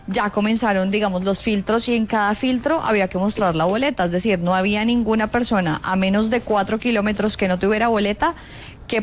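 A woman's voice: mid-range voice, a little hiss or hum. A woman with a Colombian accent, a sports journalist giving her account in an unscripted panel discussion.

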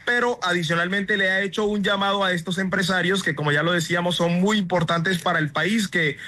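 A man from La Guajira, Colombia, delivering a live news report from the field in a clear, formal manner. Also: sits high, fairly even intonation, fairly quick, pushed and bright, no echo, clean studio sound.

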